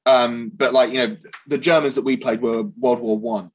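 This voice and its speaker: A man's voice: medium pitch, steady tone, moderate pace. A British man in his twenties, a guest actor in a casual podcast chat, talking in a chatty, self-mocking way. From Britain.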